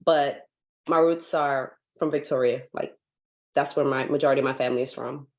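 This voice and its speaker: Low voice, young woman from guyana chatting over a video call, lots of "like", gets animated telling stories. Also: moderate pace. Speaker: guest, foundation founder. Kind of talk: casual interview conversation. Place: home in Hawaii.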